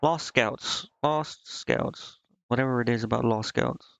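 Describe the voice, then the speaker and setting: A man's voice: mid-range voice, a little slow, vocal fry, a bit bright, smooth. A man doing casual live game commentary as a streamer, hesitantly thinking aloud to himself and reading on-screen text out. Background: game music.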